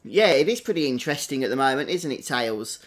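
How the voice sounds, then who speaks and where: A man's voice: medium pitch, lively intonation, a little fast, a little hiss or hum. A man giving casual, chatty gameplay commentary, thinking aloud as a streamer doing a walkthrough.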